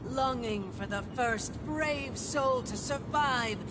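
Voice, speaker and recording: A woman's voice: sits high, slow, background noise. A woman playing a haughty, mocking herald of a brutal warrior festival in a video game, declaiming theatrically and with scorn. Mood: grand, solemn. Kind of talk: grand theatrical game voice acting. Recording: loud game music underneath.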